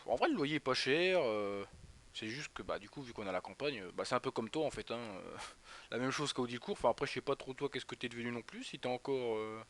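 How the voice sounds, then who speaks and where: A man's voice: mid-range voice, lively intonation, a little fast, a bit bright, slight background noise. Young man rambling through a live stream. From northeastern France. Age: young adult. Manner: rambling, chatty, talks straight to viewers by name. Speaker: Twitch streamer. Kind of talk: casual live football commentary while chatting with viewers.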